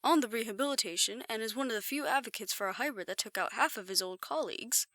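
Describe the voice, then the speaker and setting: Lady playing calm motherly-cautious confidante, highish bright voice, super dry close mic, audio drama. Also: fast talker, no room sound, close to an everyday voice. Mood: wry, cautioning. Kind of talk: audio roleplay acting.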